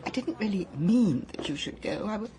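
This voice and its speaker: An actress playing an older wife left at home, speaking in a hesitant, halting, often hushed way. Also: female voice, mid-range voice, lively intonation, a crowd in the background, close to an everyday voice. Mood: wistful. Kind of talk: radio drama acting.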